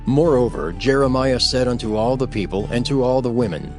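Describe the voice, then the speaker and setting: A man narrating scripture in a formal, measured, scripted style. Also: mid-range voice, expressive, darkish tone, soft background music.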